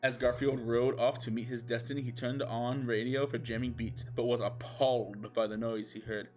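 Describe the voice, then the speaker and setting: A man reads fan fiction as a host, in a medium-pitched voice. His narration is comically dramatic and slips into casual asides. Faint music plays behind him. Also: flat delivery, moderate pace, a little mellow.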